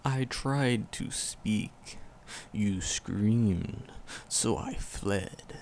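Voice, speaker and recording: Slow, gravelly ancient forest demon. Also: male voice, fairly low pitch, darkish tone, slight background noise, heavily acted. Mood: sorrowful, regretful. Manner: slow, solemn, archaic phrasing, tender. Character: ancient male forest demon, tender, sorrowful, protective. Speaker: voice actor in audio roleplay. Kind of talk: ASMR roleplay monologue, dramatic storytelling.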